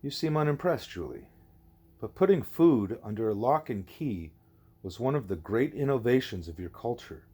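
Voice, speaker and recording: A man voicing a wise, patient gorilla teacher in an audiobook, deliberate and didactic, leaning on key words. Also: fairly low pitch, warm tone, husky.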